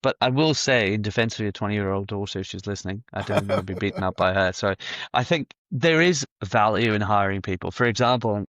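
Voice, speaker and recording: A man's voice: on the low side, a little fast, fairly bright tone, no echo. A man appearing as a podcast guest, giving confident advice in casual conversation. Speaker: marketing director guest. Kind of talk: casual interview chat.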